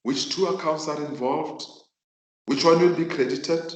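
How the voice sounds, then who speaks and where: A South African man whose mother tongue is Northern Sotho, teaching a virtual class in an emphatic, deliberate way and repeating phrases for effect. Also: a little high. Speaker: accounting teacher. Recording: echoey room.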